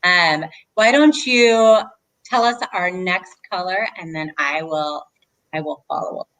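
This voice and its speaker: A woman's voice: lowish voice, a little slow, fairly bright tone, poor audio. A woman co-hosting children's story time, leading a movement rhyme in a playful, sing-song way.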